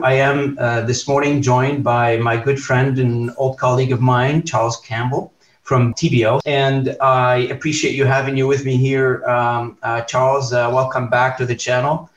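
A man hosting a podcast interview, speaking hesitantly with many fillers and restarts. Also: mid-range voice. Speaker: podcast host. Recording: roomy, distant, dull sound.

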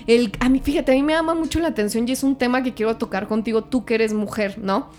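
A young woman, hosting a podcast in a lively, colloquial way and laughing often. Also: fairly high pitch, quick pace. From Parral, Chihuahua, Mexico. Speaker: podcast host. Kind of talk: casual chat.

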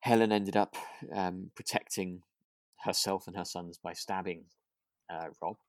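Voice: low voice, steady tone, unhurried, no room sound. A podcast co-host chatting casually about a radio drama, hesitant and thinking aloud.

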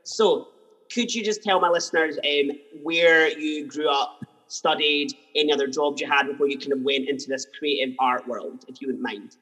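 A man's voice: fairly high pitch, normal speed, bright, forceful tone, in a large hall, poor audio. A man hosting a podcast interview, talking enthusiastically in long run-on phrases. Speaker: podcast host. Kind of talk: interview chat.

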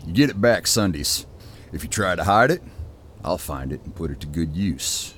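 A man playing a gruff, bullying 1890s logging camp boss, barking folksy insults and orders in an audio drama. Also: deep voice, animated, moderate pace, a bit bright, a little hiss or hum. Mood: gruff, commanding. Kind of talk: dramatic acting in an audio storyboard.

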